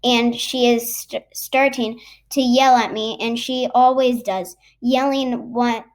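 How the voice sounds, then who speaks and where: A woman reading a children's book aloud haltingly, stumbling over and repeating words. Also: a little high, steady tone. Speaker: storybook reader.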